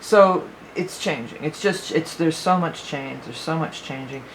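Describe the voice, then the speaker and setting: A woman's voice: low-pitched, measured pace, a little hiss or hum, rough recording. A woman giving a casual spoken astrology forecast as a psychic reader, rambling and thinking aloud with many fillers, her voice a little worn.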